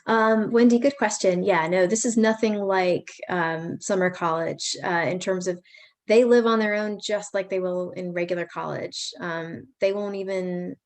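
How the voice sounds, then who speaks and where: A woman's voice: on the low side, steady tone, measured pace. A woman hosting a virtual parent information session, talking in a friendly, chatty, reassuring way over a video call. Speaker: pre-college program staff host.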